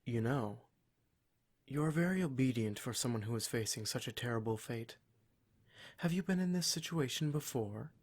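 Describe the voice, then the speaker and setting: A man's voice: medium pitch, animated, moderate pace, husky. A man playing a cruel, smug demon in a scripted ASMR roleplay, speaking in a formal, mock-polite and menacing way. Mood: smug, condescending. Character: cruel, smug male demon, taunting captor of adventurers.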